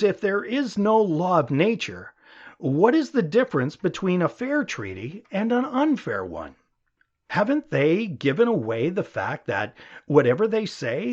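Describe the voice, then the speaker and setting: A man narrating an audiobook in the style of a conversational lecture, with emphatic, rhetorical, measured phrasing. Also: fairly high pitch. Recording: dull, muffled lo-fi audio.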